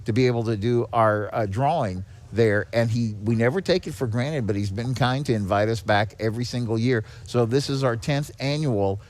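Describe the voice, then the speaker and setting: A man's voice: medium pitch, normal speed, few pauses. A man speaking as a guest in a casual interview, earnest and appreciative in manner. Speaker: podcast guest, charity executive director. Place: on location at a garage. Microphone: podcast microphone with headphones.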